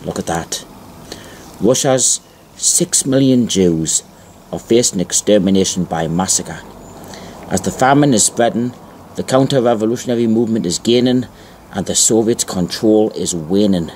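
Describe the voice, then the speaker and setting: A man giving an informal show-and-tell narration, reading aloud deliberately and repeating phrases for emphasis, on an amateur home recording. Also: on the low side, lively intonation, a little mellow, slight background noise. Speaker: amateur video presenter.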